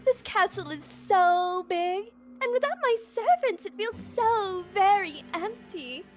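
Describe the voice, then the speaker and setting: A young visual novel princess, sweet and lonely. Her voice sits extremely high and swoops with feeling, while she speaks slowly and wistfully over music. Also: lively intonation, exaggerated voice. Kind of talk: visual novel character voice acting.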